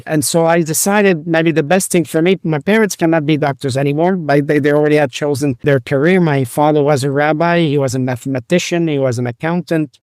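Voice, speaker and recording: A man in his sixties from Lebanon recounts his life in an unhurried interview. He speaks haltingly, full of fillers and restarts, in a smooth, clear voice a touch higher than most men's. The recording is dry and close, with no room sound. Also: steady tone, darkish tone, no room sound. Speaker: guest, rabbi and physician. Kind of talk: conversational interview storytelling.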